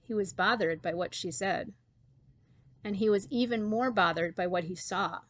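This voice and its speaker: A woman storyteller giving an expressive reading of a children's story. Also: mid-range voice, moderate pace, no echo.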